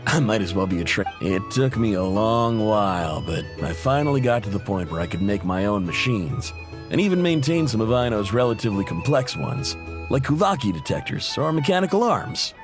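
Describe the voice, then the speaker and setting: A man's voice: lowish voice, big pitch swings, brisk. An actor playing a friendly, modest workman and family man in a video game, chatty, affable and self-deprecating. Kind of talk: video game character acting. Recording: music behind the voice.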